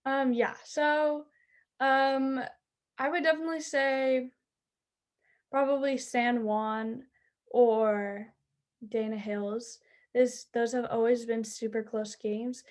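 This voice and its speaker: A teenage girl who plays high school softball, chatting eagerly in an interview with lots of fillers. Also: steady tone, slow, long pauses. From Southern California. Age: teens. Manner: bubbly, chatty, many "like" and "um" fillers. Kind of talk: interview answers.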